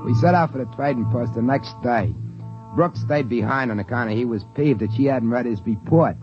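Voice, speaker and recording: A man's voice: medium pitch, a little fast, lightly acted. A plain-talking actor's male trader from a 1950s radio play, earnest and a little exasperated. He speaks in loose, homespun grammar. The old broadcast sounds dull, with music faintly behind. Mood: folksy narrating. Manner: colloquial, ungrammatical, folksy way of talking. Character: folksy, plain-spoken male trading post assistant, earnest and exasperated. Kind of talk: 1950s radio drama acting and first-person narration.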